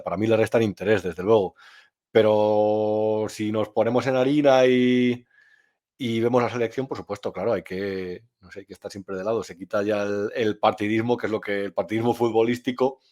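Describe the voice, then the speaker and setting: A man from Spain, answering interview questions in a frank, opinionated way. His voice sits on the low side, and he often pauses and starts his sentences over as he thinks. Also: a little mellow. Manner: frank and opinionated, with frequent hesitations and restarts. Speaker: journalist and novelist guest.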